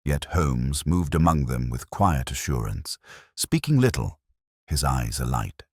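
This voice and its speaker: A man narrating a period mystery audiobook in a solemn, theatrical way with deliberate phrasing. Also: rumbling low, sing-song, slightly rough, dry recording, clean studio sound.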